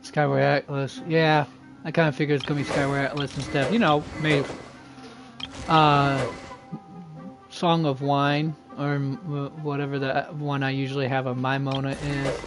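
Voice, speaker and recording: A man's voice: medium pitch, level tone, moderate pace. A man streaming a video game, giving casual commentary in muttered, offhand asides. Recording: loud game music behind.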